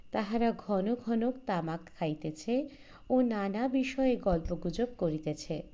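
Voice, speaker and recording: A woman narrating a literary short story in a measured, formal storytelling manner. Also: medium pitch, dark tone, muffled recording. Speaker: audiobook narrator.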